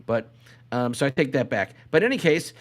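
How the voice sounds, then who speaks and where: A middle-aged man with an ordinary mid-pitched voice talks as a podcast co-host. He rambles casually, hesitating and repeating phrases as he circles toward his point. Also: animated, brisk.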